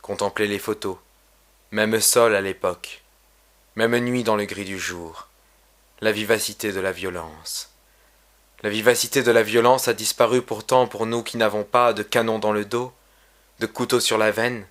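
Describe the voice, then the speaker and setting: Guy reading his poem out loud, kinda low voice, serious and measured. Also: steady tone. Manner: solemn, measured, literary delivery. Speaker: poet reading own poem. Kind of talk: poetry recitation.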